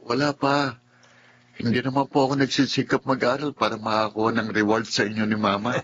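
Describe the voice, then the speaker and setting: A man's voice: mid-range voice, flat delivery, brisk, fairly bright tone, lightly acted. Man playing modest, reserved schoolboy, muffled audio. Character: modest, reserved schoolboy son, evasive, polite. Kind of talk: Filipino radio drama acting. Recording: muffled radio-drama audio, faint noise.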